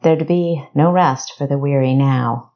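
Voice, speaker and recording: A woman narrates a scripted story as an audiobook. Her voice sits low and she moves slowly through the prose. The sound is a little dull. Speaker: author narrating own audiobook.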